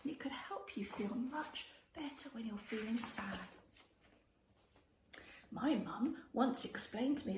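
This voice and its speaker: High-pitched, lively woman reading a children's story. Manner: expressive, addresses child listeners with questions and prompts. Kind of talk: children's story read-aloud. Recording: roomy echo with faint background noise.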